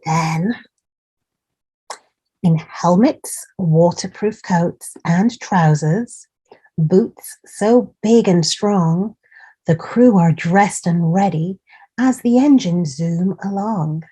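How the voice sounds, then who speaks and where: Lady doing kids storytime, takes it slow, soft dark tone, encouraging, points stuff out as she reads. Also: on the low side, slightly rough, poor audio. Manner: encouraging, pointing out details to young listeners. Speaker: children's storytime host and reader. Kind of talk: picture book read-aloud with asides to children.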